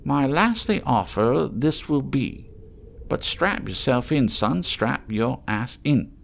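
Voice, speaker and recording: A man voicing an eccentric old tramp trader in an audiobook, wheedling in excitable, salty, rambling patter. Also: medium pitch, slow pace, creaky, slight background noise, voice pushed up. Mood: haggling, gleeful.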